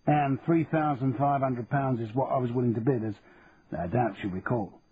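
A man's voice: mid-range voice, normal speed, lightly acted. A man doing a pompous, indignant auctioneer on a tinny line. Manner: stiff and formal, affronted. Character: pompous middle-aged male auctioneer, indignant. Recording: tinny phone-like sound with faint hiss.

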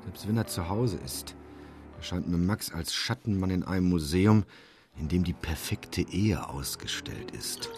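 Bitter married man, radio drama monologue, very deep voice, weary, observant, detached. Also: measured pace, music in the background. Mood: bleak reflection. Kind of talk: first-person inner monologue in radio drama.

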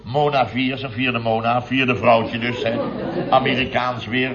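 An actor playing a jovial, blustering building-firm boss in a radio comedy, telling stories with sarcastic grumbles and asides. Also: male voice, medium pitch, level tone, unhurried, crowd noise, natural voice. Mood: jovial storytelling. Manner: sarcastic, tags sentences with chuckling asides. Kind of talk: 1970s Dutch radio comedy acting. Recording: dull vintage radio recording with audience.

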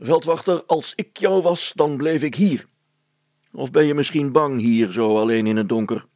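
A man voicing a firm schoolmaster who speaks deliberately and repeats himself with ominous, knowing insistence in a story. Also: mid-range voice, darkish tone, dry recording, pitched above the performer's own voice, close to an everyday voice. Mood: firm, teasing.

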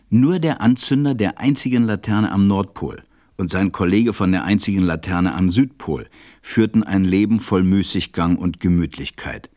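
A man playing a reflective storyteller who confides in children, narrating a radio play in a measured way. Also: low voice, a little mellow, no echo, studio quality. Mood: measured, wry.

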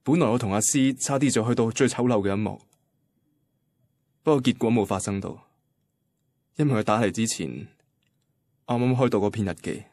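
An actor playing an easygoing but insecure young boyfriend in a radio drama, speaking Hong Kong Cantonese. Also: male voice, medium pitch, normal speed, pauses often, darkish tone, no room sound, close to an everyday voice. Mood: reflective narration. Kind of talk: radio drama acting and first-person narration.

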